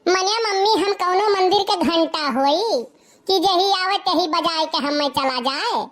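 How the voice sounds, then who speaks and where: A mischievous Awadhi village lad in a cartoon comedy. His voice is extremely high and squeaky, like a child's. He protests and wisecracks in a whiny, defiant, argumentative way. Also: unhurried, a bit bright. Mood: whiny protesting. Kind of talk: comic cartoon dialogue.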